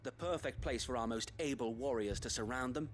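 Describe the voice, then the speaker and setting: An actor playing an earnest, resolute knight commander, speaking formally and precisely in a dramatic fantasy game. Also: male voice, medium pitch, fairly quick, slight background noise, close to an everyday voice. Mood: resolute, measured. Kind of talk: dramatic video game acting.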